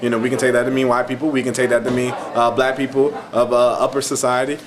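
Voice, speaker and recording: An American man gives reflective commentary as a presenter. His voice is mid-pitched, and he muses in questions. A busy crowd chatters behind him. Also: level tone, fast talker. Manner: musing, poses rhetorical questions. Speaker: presenter discussing a play.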